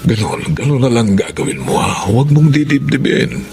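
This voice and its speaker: A man playing a kind, encouraging elderly father in a Filipino drama, speaking in a gentle, animated manner. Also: mid-range voice, moderate pace, gravelly. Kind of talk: emotional Filipino audio drama acting.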